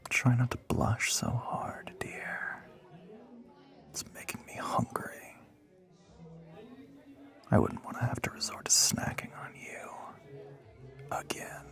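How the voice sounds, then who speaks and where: An ancient male vampire, acted out by a man, murmurs in a breathy whisper with a creaky edge. He is slow and deliberate, suave and possessive, teasing with formal condescension and quiet menace, as in an intimate romantic audio roleplay. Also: mid-range voice, very animated, exaggerated voice. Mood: teasing, amused.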